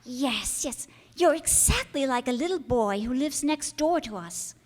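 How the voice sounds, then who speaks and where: An actress playing an innocent, trusting, gently frank young girl in an old-time radio drama, earnest and gently chiding. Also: high-pitched, lively intonation, unhurried. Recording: echoey hall with long reverb.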